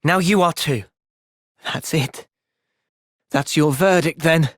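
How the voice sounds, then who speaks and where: A man with an English accent playing an earnest, thoughtful young soldier hero in a video game, speaking sincerely and with measured delivery. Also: a little high, a bit bright, no room sound, clean studio sound. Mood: calm, earnest. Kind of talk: video game cutscene voice acting.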